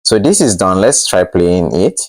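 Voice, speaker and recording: Very deep-voiced man, halting tutorial delivery. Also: sing-song. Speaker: tech tutorial presenter. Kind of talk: casual software tutorial narration.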